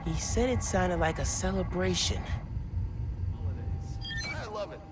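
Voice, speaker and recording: An actor voicing an earnest teenage boy superhero with a youthful, lively delivery in a game cutscene. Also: high voice, unhurried, heavy background noise.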